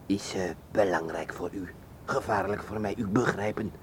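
A low male voice of an actor as a poor Egyptian driver in a radio play. He wheedles and haggles in broken phrases, over faint street noise. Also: moderate pace, natural voice. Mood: wheedling. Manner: broken Dutch, ingratiating, haggling. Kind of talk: radio drama acting.

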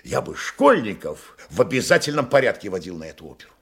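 Chatty, husky provincial gentleman. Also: fairly low pitch, sing-song, quick pace, full character voice. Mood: enthusiastic. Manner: chatty, exclamatory, slightly pompous. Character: talkative, cultured provincial male hotel guest, eagerly agreeable. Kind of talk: Russian radio play acting.

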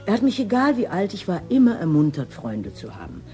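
An actress playing an absent-minded police detective in a radio crime drama, casual and dryly ironic. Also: female voice, medium pitch, wildly swooping pitch, fairly quick, dark tone, soft background music. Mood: relaxed.

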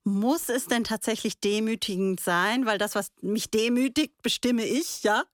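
Measured woman in a dry studio discussion. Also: fairly high pitch, no room sound. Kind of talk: conversational discussion, posing questions.

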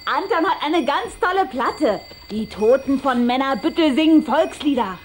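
High-voiced, snooty little vampire girl. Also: lively intonation, normal speed, a little hiss or hum. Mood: self-satisfied. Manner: affected, haughty, whiny. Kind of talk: German children's audio drama acting.

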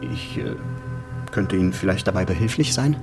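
A man's voice: deep voice, normal speed, over music, natural voice. An actor playing a nosy, officious male neighbour in a radio drama, speaking in a hesitant, wordy, pedantic way. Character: nosy, officious male neighbour who logs his fellow tenants. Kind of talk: naturalistic radio drama acting.

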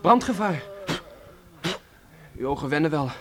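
Man acting an earnest young pioneer boy, higher-pitched. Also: big pitch swings, measured pace, fairly bright tone, slight background noise. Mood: earnest, nervous. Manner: earnest, polite, slightly hesitant. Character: earnest, dutiful fourteen-year-old pioneer boy. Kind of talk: Dutch radio play acting.

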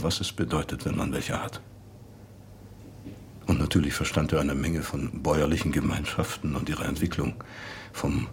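A man playing a thoughtful acquaintance recalling a late friend in a measured, articulate radio drama monologue. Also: low-pitched, a little hiss or hum. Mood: reflective.

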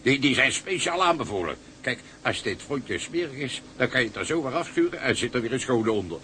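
An actor playing a cheery, coaxing ship's cook and fixer in a radio drama, talking colloquially. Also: male voice, medium pitch, lively intonation, brisk, bright, forceful tone, a little hiss or hum. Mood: salesmanlike, jovial.

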